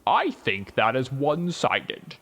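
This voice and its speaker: A man voicing an obtuse, stubborn talking rock with an earthy, solemn, drawn-out voice in an audiobook story. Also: medium pitch, big pitch swings, a bit bright, exaggerated voice. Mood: stubborn protest. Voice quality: earthy, dull echoing voice. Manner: slow, solemn, drawn-out delivery.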